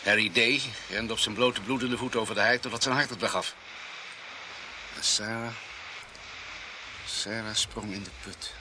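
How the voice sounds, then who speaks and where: An actor as a precise, matter-of-fact police pathologist. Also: male voice, fairly low pitch, steady tone, moderate pace, long pauses, background noise, natural voice. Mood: measured, firm. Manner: precise, analytical. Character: matter-of-fact male police pathologist, coolly reconstructing murders. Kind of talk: radio drama acting.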